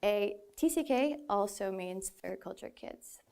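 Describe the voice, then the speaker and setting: A woman's voice: medium pitch, expressive, unhurried, gravelly, a little mellow. A woman in her twenties from the Philippines, giving a prepared stage talk in an earnest way with a few light laughs at herself. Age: 20s. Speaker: speaker. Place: stage in a large hall.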